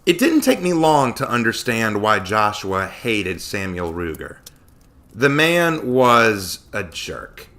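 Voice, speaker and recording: A man's voice: fairly low pitch, big pitch swings, slow, bright, forceful tone. A man reading a story aloud in a dramatic audiobook style. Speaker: story reader.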